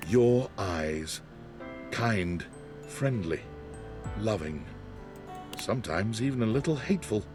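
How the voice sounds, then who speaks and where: An actor reading the ending narration of a video game in a measured, expressive way. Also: male voice, on the low side. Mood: tender. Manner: measured, expressive delivery with lingering emphasis. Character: calm adult male storybook narrator. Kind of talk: scripted epilogue narration. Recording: game audio over loud music.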